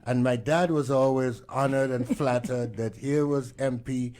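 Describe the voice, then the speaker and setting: A man hosts a radio talk show, steering the conversation and cheering his guest on with short affirmations. His voice sits at a mid pitch for a man. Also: steady tone, measured pace, a little mellow. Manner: affirming, encouraging, frequent backchannel. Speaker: radio host. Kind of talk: radio interview.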